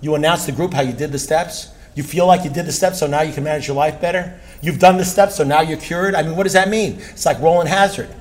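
A man's voice: a little high, quick pace, hardly any pauses. A man giving an impassioned talk at a recovery meeting, blunt and emphatic, hammering points with repetition and the odd curse word.

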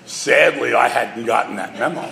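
An older man from New with a gravelly voice, telling a personal story with wry, self-mocking humor to a live audience over a stage microphone. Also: medium pitch, normal speed, crowd noise. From New York. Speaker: speaker addressing an audience. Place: large hall before a live audience. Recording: reverberant hall sound.

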